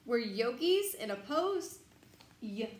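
A young woman reading a children's bedtime picture book aloud in an expressive, sing-song, teacherly way, emphasizing key words. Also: on the high side, takes it slowly. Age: young adult. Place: small glassed-in sunroom. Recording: roomy echo, light background noise.

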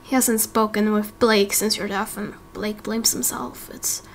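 A young woman acting a subdued, hesitant friend in a hobby Minecraft roleplay, speaking quietly and haltingly. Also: medium pitch, fairly even intonation, vocal fry, a little hiss or hum, voice pushed down. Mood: sad, halting.

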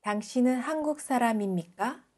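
A woman from South Korea reading example sentences as a language teacher, enunciating carefully and deliberately. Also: a little high, lively intonation, a little mellow.

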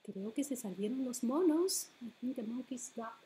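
A middle-aged woman reading a picture book aloud to preschoolers in an expressive, deliberate, bilingual storytime manner, recorded at home. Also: on the high side. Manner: expressive, deliberate, switching between English and Spanish. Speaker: children's librarian, storytime host. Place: home living room.

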